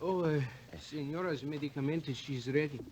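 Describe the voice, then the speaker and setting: An actor playing a timid but insistent shopkeeper with a Spanish accent and halting, broken English in a 1950s radio drama. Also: male voice, on the high side, a little mellow, a little hiss or hum, lightly acted. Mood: hesitant, insistent. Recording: vintage radio broadcast, off mic.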